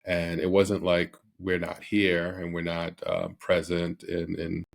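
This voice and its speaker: A man's voice: sits low, monotone, slow pace, creaky. A middle-aged man from Barbados, a company head as podcast guest, talking casually with many fillers and restarts. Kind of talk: casual interview.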